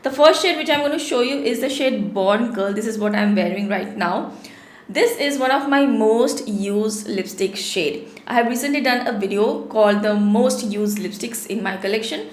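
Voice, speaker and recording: A woman's voice: fairly high pitch, moderate pace, roomy sound. Chatty woman with a sore throat. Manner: chatty, repeats words for emphasis. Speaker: beauty vlogger. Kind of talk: casual product review to camera. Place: small home studio room.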